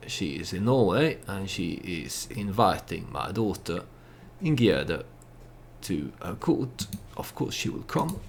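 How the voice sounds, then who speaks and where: A man giving unscripted, storytelling commentary on a game he plays, halting as he thinks aloud and speaks for his character. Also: sits low, creaky, slight background noise. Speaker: let's play host.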